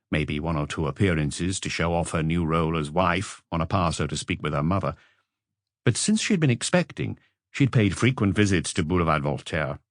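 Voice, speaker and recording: A man's voice: deep voice, no room sound, studio quality. A man reading a mystery novel as a scripted audiobook narration.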